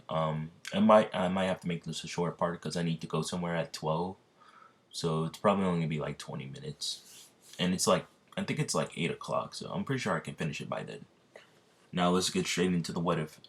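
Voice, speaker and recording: A man's voice: low-pitched, fairly bright tone. A sleepy young man casually making up a fan story, rambling with lots of ums and restarts. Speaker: YouTuber narrating a fan story.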